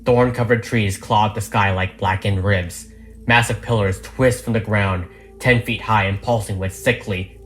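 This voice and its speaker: A narrator reading a script and its stage directions in a dramatic storytelling style. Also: low-pitched. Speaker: narrator reading all parts of a fan audio drama. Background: background music.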